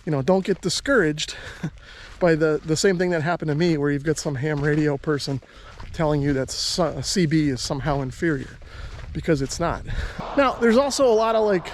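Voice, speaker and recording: Higher-pitched American man, casual explainer. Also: normal speed, a little hiss or hum. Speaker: radio hobbyist and video host. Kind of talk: casual explanatory talk to viewers.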